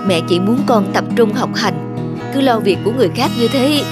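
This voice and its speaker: Woman acting a high-voiced, fretful cartoon mother. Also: animated, fairly quick, natural voice. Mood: worried, stern. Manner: admonishing, motherly. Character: busy, fretful young mother, mildly scolding. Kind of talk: animated cartoon dialogue. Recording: music behind the voice.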